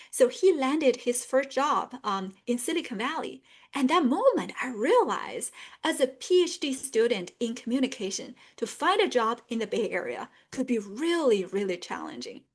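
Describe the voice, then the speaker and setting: A middle-aged woman from northern China with a Chinese accent, hosting an online career webinar in an earnest tone with many fillers. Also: a little high. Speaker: webinar host and career coach. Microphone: video call.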